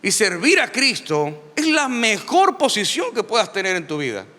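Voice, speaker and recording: A middle-aged man preaching a lively sermon to a church, emphatic and repetitive, with touches of humor. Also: high-pitched, moderate pace, big-room sound. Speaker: pastor.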